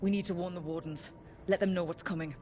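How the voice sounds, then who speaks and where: A woman's voice: lowish voice, steady tone, fast talker, dark tone, faint music behind, natural voice. A woman playing a composed, decisive young adventurer who leads a team in a video game. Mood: resolute. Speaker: voice actor. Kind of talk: video game cutscene acting.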